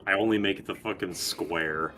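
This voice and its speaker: A man's voice: sits low, moderate pace, sharp tone. A man doing casual, joking game commentary with crude, sweary language. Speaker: gaming stream co-commentator. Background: game music.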